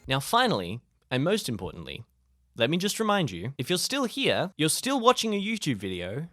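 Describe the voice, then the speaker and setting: A man's voice: high-pitched, a bit bright, no echo, studio quality. A man talking casually to camera like a vlogger giving friendly tips, informal and jokey with a self-deprecating streak. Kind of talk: casual, chatty advice to camera.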